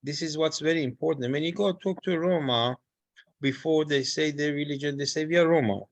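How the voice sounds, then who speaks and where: A man's voice: a little high, brisk, no echo, dull audio. A man from Iran making a friendly, emphatic comment at an online seminar, with frequent fillers. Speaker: seminar participant. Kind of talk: spontaneous comment.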